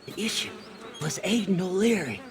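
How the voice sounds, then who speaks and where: High-pitched crazed, giggly treasure hunter. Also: male voice, expressive, moderate pace, a little hiss or hum. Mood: sly, rambling. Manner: eccentric, rambling, repeats himself, giggly. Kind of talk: Western video game character acting.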